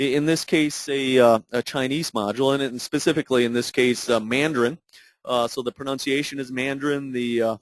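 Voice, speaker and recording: A man narrating an unscripted software tutorial in a halting, explanatory way with many fillers. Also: medium pitch, no room sound. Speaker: product demonstrator.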